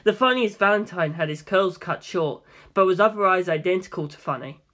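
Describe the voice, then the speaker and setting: Guy narrating an audiobook story, first person, fairly high voice, bright and forceful. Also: brisk.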